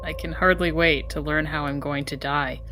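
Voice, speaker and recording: A woman with a lowish voice banters casually as a player at a tabletop game. She has a wry manner, and music is clearly heard behind her. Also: steady tone, normal speed, fairly bright tone, poor audio.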